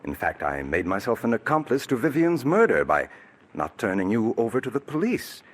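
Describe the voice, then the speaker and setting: An actor in a 1940s radio drama playing a scheming male blackmailer, smooth, calculating and menacing, with a husky voice. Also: medium pitch, huge pitch swings, normal speed. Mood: wheedling. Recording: old radio broadcast recording.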